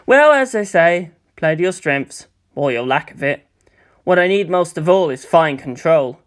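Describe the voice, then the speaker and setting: A woman's voice: lowish voice, animated, a little slow. A woman voicing a thoughtful, world-weary teenage boy in a storybook reading. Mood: guarded, self-deprecating.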